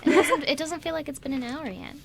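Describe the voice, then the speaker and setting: A woman's voice: high-pitched, fast, creaky, over a noisy crowd. A woman chatting casually as a member of a radio panel. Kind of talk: casual group chat.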